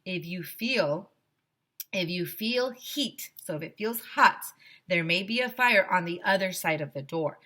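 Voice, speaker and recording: Female teacher reading a kids' book out loud, lowish voice, super animated. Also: unhurried. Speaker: teacher reading aloud to children. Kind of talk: expressive storybook narration.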